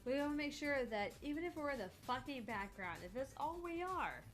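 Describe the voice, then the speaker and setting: A woman's voice: high voice, expressive, brisk. A woman co-hosting a gaming livestream, bantering casually in a playful, blunt manner. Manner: playful, blunt, sweary interjections. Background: background music.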